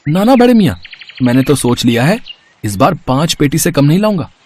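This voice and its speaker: A man voicing an earnest, chatty younger village shopkeeper in a story, animated and respectful. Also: on the high side, brisk. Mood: eager. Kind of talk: storybook character acting.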